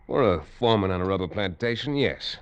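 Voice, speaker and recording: An actor playing a calm, methodical American detective in old radio drama style, measured, probing and politely businesslike. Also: male voice, fairly low pitch, smooth, lo-fi sound. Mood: measured, explanatory. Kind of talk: 1940s-50s radio drama acting.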